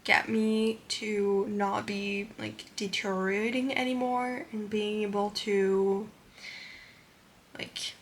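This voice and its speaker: Slow, soft-spoken young woman. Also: medium pitch, slight background noise. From Switzerland. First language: French. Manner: soft-spoken, unhurried, with many fillers. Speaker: vlogger. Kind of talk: casual vlog talk to camera.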